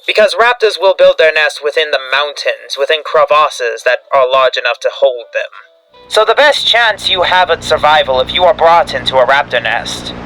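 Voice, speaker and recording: A man's voice: on the high side, pushed and bright, a little hiss or hum, low-quality recording. A man delivering scripted analog horror narration like a field briefing, in a grave, dramatic instructional manner. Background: background music.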